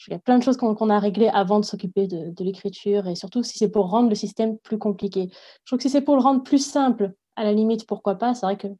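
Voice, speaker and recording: A woman's voice: mid-range voice, fast, mellow. A young woman from France, a language teacher, talking frankly and reflectively in a casual interview, thinking aloud as she goes.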